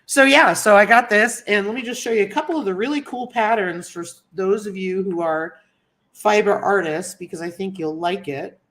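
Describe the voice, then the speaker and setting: A woman's voice: mid-range voice, normal speed, fairly bright tone. A woman hosting a casual livestream, chatting with viewers by name and a little sniffly from a cold. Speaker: livestream host. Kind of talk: casual live chat greeting viewers.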